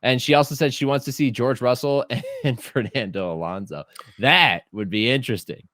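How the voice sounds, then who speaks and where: A man co-hosting a casual podcast, animated and joking, laughing often. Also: mid-range voice, moderate pace, no echo, studio quality. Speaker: podcast co-host. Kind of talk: casual chat.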